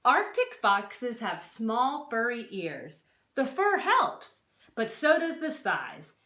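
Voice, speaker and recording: High, animated woman reading aloud, echoey room. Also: takes it slowly. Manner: expressive, questioning, stresses and draws out key words. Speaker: teacher reading a non-fiction book aloud to children. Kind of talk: children's read-aloud with explanatory asides. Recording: roomy, echoey sound.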